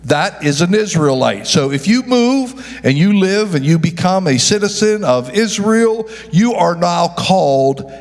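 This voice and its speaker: A man's voice: sits high, lively intonation, unhurried. A male pastor preaching a sermon, calling out to the congregation and often stumbling and correcting himself. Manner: preaching with call-and-response to the congregation. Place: church sanctuary. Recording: long echo of a large hall.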